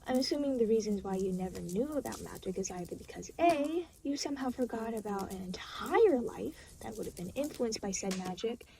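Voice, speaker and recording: A woman's voice: mid-range voice, gravelly, noisy background. A woman playing an aloof, reluctant, weary demon guide in an intimate audio roleplay, speaking in a dry, matter-of-fact, hesitant way. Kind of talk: intimate scripted audio roleplay spoken to the listener.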